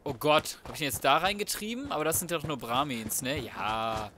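A man's voice: on the high side, lively intonation, a little fast, pushed and bright, slight background noise. A man giving casual let's play commentary, thinking aloud in slang with some swearing. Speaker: gaming video host.

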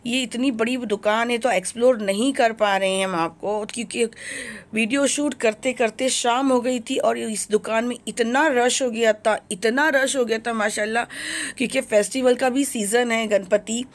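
Female vlogger, slightly high voice, eager and salesy, phone-filmed in a busy store. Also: steady tone, normal speed. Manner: enthusiastic, repetitive praise, promotional. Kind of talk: shopping vlog walkthrough. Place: crowded kitchenware shop, indoors.

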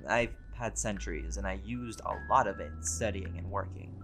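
A man acts out a laid-back male vampire who has lived for centuries, in an intimate one-sided audio roleplay. His voice sits fairly low and he chats with easy, scholarly wit and a playful teasing edge. Music plays clearly behind him. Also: moderate pace. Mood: easygoing, matter-of-fact. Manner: relaxed, conversational, wryly playful. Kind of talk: conversational audio roleplay to an unseen listener.

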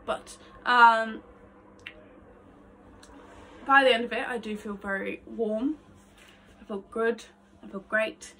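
A woman's voice: fairly high pitch, steady tone, takes it slowly, pauses often, pushed and bright, a little hiss or hum, low-quality recording. A woman in her twenties talking casually and self-deprecatingly to camera in an informal vlog style. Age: 20s. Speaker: aspiring actress. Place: small attic bedroom, indoors. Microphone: phone camera a short distance away.

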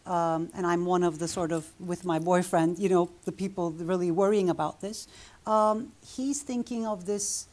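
A woman on a festival panel answering a question in a measured, careful way. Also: on the low side, steady tone, darkish tone.

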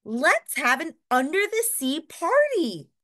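Little storybook rabbit girl, dreamy and bright, voiced by a woman. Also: high voice, very animated, slow, exaggerated voice.

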